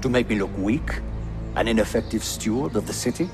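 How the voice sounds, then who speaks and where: A man's voice: fairly low pitch, animated, brisk, music in the background. A man playing a proud, resentful Ottoman prince in a video game, speaking in an imperious, indignant manner. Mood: accusing. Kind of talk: video game cutscene acting.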